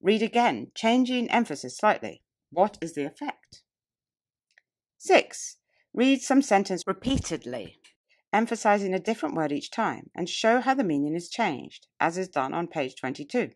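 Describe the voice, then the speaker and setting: A woman narrating a nonfiction audiobook as scripted reading, in a deliberate, emphatic, oratorical way. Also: medium pitch, sing-song. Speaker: audiobook reader. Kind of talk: scripted narration of a public-speaking textbook.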